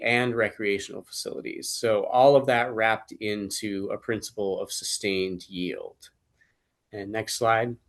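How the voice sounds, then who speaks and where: A man with a cold, slightly hoarse, giving a measured legal explanation as a staff attorney in an online presentation, with frequent fillers. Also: on the low side. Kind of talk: webinar presentation.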